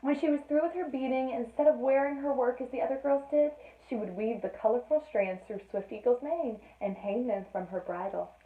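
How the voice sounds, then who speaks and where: A woman reading a children's story aloud in an expressive teacherly style, with playful asides to her listeners. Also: on the high side, fairly quick, hardly any pauses. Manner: addresses listeners with playful questions, dramatic emphasis. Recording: roomy, echoey, narrowband sound.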